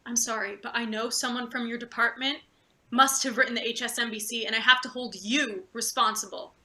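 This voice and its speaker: A woman's voice: a little high, fairly even intonation, fairly quick, pushed and bright. A woman playing a ruthless, irritable boss in a play reading, curt, accusatory and sarcastic. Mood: threatening, irritated. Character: ruthless, irritable female boss, sharp and threatening. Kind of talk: live table read of satirical stage play.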